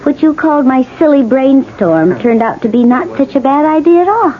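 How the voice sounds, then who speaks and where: A woman's voice: high-pitched, animated, normal speed. An actress playing a poised, persuasive woman of the theatre in a 1970s radio drama. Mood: sociable, coaxing. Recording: muffled 1970s radio recording, crowd murmur.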